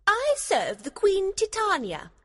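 A man reading a storybook, voicing a proud female fairy servant in a very high, light voice. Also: huge pitch swings, slow, a bit bright, no room sound, studio quality, heavily acted.

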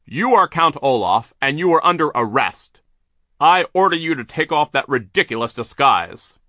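Man voicing stern pompous banker, formal, emphatic, bright tone. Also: a little high, very animated, normal speed, dry recording, clean studio sound, pitched above the performer's own voice, exaggerated voice. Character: stern, pompous middle-aged male banker.

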